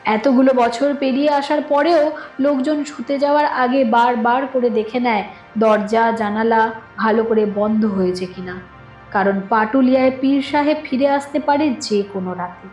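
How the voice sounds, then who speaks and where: A woman's voice: fairly high pitch, normal speed, a little mellow. A woman reading a scripted suspense story in a dramatic storytelling manner. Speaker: narrator of a horror audio story. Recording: echoing studio voice with music underneath. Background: background music.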